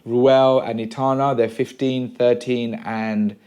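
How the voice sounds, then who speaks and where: A man around forty from Sri Lanka, a pastor and podcast guest, telling his story in a relaxed way with many pauses and "uh"s in casual conversation at home. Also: medium pitch. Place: a home room.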